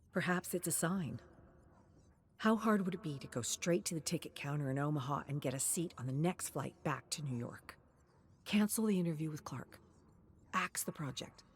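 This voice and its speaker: A woman with a low voice narrates a dramatic story from a script. Faint ambient noise sits behind her narration.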